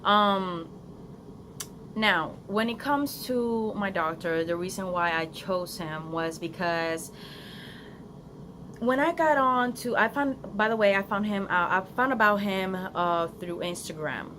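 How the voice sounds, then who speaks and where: A woman's voice: medium pitch, slow, fairly bright tone. A young woman with a Spanish accent, Spanish her first language, chatting earnestly in an unedited phone vlog outdoors, with many ums and restarts. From Florida, United States. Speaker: vlogger. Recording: phone recording outdoors with clear background noise.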